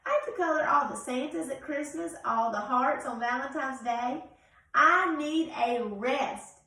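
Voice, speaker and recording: Woman reading as fed up overworked crayon, high and emphatic, storybook style, very echoey room. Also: a little slow, bright, forceful tone, full character voice. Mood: weary, exasperated. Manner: emphatic, weary complaining. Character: overworked, exasperated red crayon complaining. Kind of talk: storybook read-aloud. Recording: very echoey room, distant from mic.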